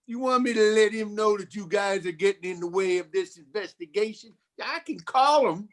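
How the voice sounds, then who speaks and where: An actor playing a glib, wisecracking 1940s private detective in a campy noir radio play. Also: male voice, sits high, normal speed, fairly bright tone. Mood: cocky. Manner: glib, slangy.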